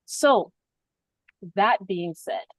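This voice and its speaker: A woman's voice: mid-range voice, expressive, very slow, no room sound, poor audio. A woman hosting a spiritual podcast on a video call, speaking reflectively and laughing often between thoughts. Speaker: podcast host.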